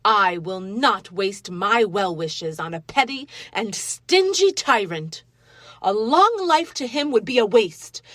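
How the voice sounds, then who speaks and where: A woman acts an indignant wife in a radio play. She is plain-spoken and bitterly emphatic, with a mid-pitched voice recorded very dry. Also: very animated, slow pace, a bit bright, dry recording, full character voice. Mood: bitter, scornful. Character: indignant, plain-spoken wife who despises a stingy tyrant.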